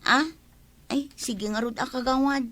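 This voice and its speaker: A woman's voice: sits high, fairly even intonation, unhurried, fairly bright tone, no echo. A woman acting a meek, apologetic newcomer to the village, with an Ilocano accent, in a comedy radio drama. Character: apologetic young newcomer woman asking for credit.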